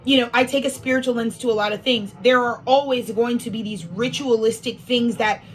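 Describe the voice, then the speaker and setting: A woman's voice: a little high, steady tone, brisk, poor audio. A woman giving a casual spiritual monologue as an astrology speaker, a little sniffly, rambling with lots of fillers and free swearing.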